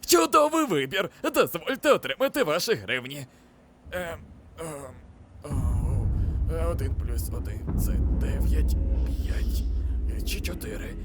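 A man's voice: fairly high pitch, very animated, a little slow, heavy background noise. A man voicing a pompous, bumbling cartoon wizard who speaks grandly and gets flustered easily. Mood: flustered panic. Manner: grandiloquent old-fashioned speech, panicky when flustered. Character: pompous, theatrical, bumbling wizard working as shop cashier. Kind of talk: animated comedy fan dub.

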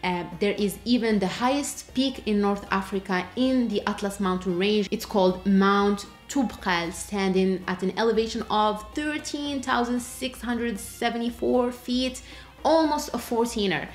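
A young adult woman from Morocco, a travel channel host, explaining facts about her home country to camera in an upbeat, clear way. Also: mid-range voice, unhurried. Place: small indoor studio room with plain wall. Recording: roomy indoor echo.

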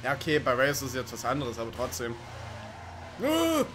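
A man's voice: medium pitch, wildly swooping pitch, moderate pace, noisy background. A man giving casual, rambling, sweary let's play commentary over racing game sounds. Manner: rambling, sweary, joking to himself. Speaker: gaming video commentator.

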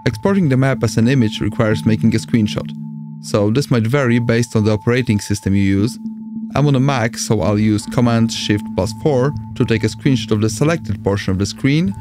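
A man with a Polish accent narrates a step-by-step software tutorial in a mid-pitched voice. He presents as the host of an amateur radio tutorial. Music plays clearly behind his voice-over. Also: fairly quick. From Poland.

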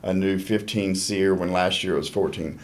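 A man in his thirties with a fairly low voice speaks as an interview guest. His talk is halting, full of ums and restarted phrases. He sits at a desk podcast mic in a small office. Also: fairly even intonation. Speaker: HVAC technician guest. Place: small office studio, indoors.

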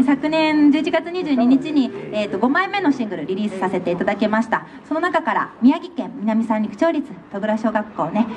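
A young woman performing outdoors at a stand mic behind a keyboard, chatting politely with fillers between songs and then singing a gentle song. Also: mid-range voice, background noise. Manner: soft, polite stage talk with many fillers, then singing. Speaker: singer-songwriter. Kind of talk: live street performance with piano. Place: outdoor stage in a city square. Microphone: stand mic while playing keyboard. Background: keyboard accompaniment.

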